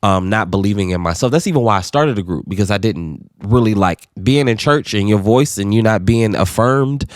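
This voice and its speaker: A man with a lowish voice speaks earnestly and reflectively, and he swears casually as he talks. The sound is dry and close, with little room echo. He sounds like a co-host in a relaxed conversation. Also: a little fast, few pauses. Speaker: podcast co-host.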